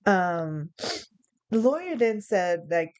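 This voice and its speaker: A woman's voice: lowish voice, big pitch swings, slow, clear, resonant tone. Young adult woman from New York, casual vlog storyteller, rambling and chuckling with lots of fillers. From New York, USA. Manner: rambling, chuckling, many fillers and self-corrections. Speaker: vlogger.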